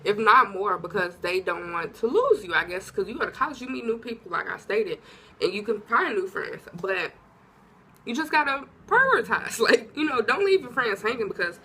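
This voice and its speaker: A woman's voice: mid-range voice, expressive, normal speed, bright, forceful tone, slightly rough. A young woman from Illinois vlogging casual advice, talking informally and drifting off topic, her voice a little stuffy from congestion. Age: young adult. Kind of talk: casual advice talk to camera.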